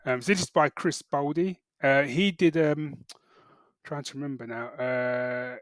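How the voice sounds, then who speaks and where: A middle-aged British man chatting casually as a podcast co-host, halting and correcting himself as he goes. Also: mid-range voice, no room sound, studio quality. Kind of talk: casual chat, geek reviews.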